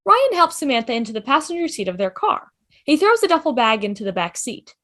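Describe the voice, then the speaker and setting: A woman's voice: sits high, sing-song, a little fast, sharp tone. A woman reading stage directions as scripted narration in a fan table read.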